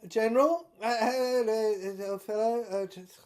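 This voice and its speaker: A woman's voice: on the high side, unhurried, darkish tone, voice pushed up, lightly acted. A woman playing a genteel, boastful male ex-barrister in an audio drama, who rambles deferentially and keeps correcting himself. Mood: hesitant, boastful. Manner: hesitant, digressive, self-correcting. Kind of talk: serial audio drama acting.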